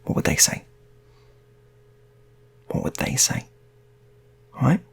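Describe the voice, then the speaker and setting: A man voicing an earnest, patient police hostage negotiator in an improvised story, coaxing and repeating himself haltingly. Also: close to an everyday voice. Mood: gentle pleading.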